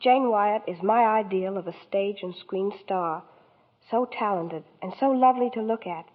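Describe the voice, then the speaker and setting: A woman's voice: mid-range voice, normal speed. A woman from New York, a guest starlet in a 1940s radio interview, speaking sweetly and politely. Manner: sweet, polite scripted chat. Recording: tinny 1940s radio broadcast.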